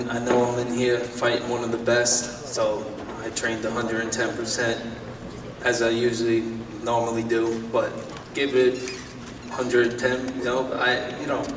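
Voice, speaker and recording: Flat-voiced boxer in echoey hall. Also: male voice, mid-range voice. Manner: plain, halting, repeats himself. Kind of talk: press conference answers. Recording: echoey hall, far from mic, noisy.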